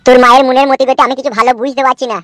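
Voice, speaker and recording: A woman's voice: high-pitched, little pitch movement, rapid-fire, noisy background, lightly acted. A performer, heard as a woman, playing a suspicious, sarcastic husband who grumbles in comic cartoon dialogue.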